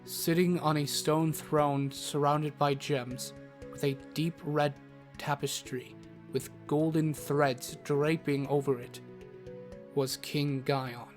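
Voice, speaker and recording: A man with a slightly high voice narrates a fantasy tale at a slow pace. His wording is formal and story-like, and music plays softly beneath him. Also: flat delivery. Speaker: narrator, in character as a travelling doctor. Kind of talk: scripted first-person fantasy audio drama narration. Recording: music bed under narration.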